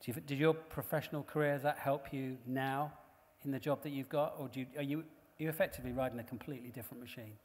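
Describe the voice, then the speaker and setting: A man's voice: mid-range voice, fairly even intonation, fast talker, few pauses, darkish tone. A man hosting a live panel interview on stage, asking chatty questions with fillers. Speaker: stage host. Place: large hall on stage before an audience. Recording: long hall reverb.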